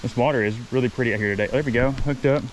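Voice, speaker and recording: Guy vlogging by a creek, enthusiastic and chatty, mid pitch, little bit of outdoor hiss. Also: racing, poor audio. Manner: enthusiastic, chatty, laughs often. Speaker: fishing channel host. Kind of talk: excited outdoor vlog commentary. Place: outdoors at a rocky creek pool by a waterfall. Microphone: head-mounted camera mic.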